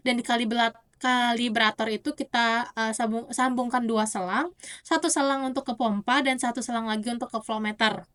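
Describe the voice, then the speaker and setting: A young woman gives an explanatory oral presentation as a student, often halting to correct herself. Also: on the high side, a bit bright. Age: young adult. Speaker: university student.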